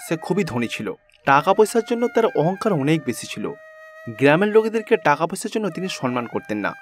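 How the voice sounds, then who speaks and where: Casting: male storyteller, higher-pitched voice, scripted cartoon folktale narration over music.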